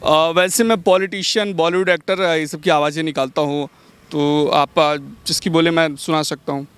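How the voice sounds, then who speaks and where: Male interview guest from Jharkhand, polite, slightly halting, high clean voice. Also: steady tone, fairly bright tone, clean tone, no echo. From Jharkhand, India. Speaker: mimicry artist, guest. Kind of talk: interview answers.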